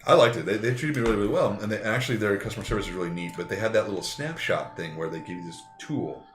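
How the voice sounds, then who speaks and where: Thirties male, low voice, loose joking storyteller; echoey room, faint music bed. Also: animated, rapid-fire. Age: 30s. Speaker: tabletop game player. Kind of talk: casual pre-game banter.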